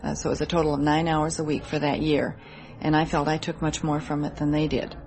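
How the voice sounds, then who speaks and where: A woman's voice: deep voice, flat delivery, brisk, a little mellow. A woman in her thirties with a Midwestern American accent, answering interview questions in an earnest, articulate way. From Midwest United States. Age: 30s. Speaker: interview guest, military wife.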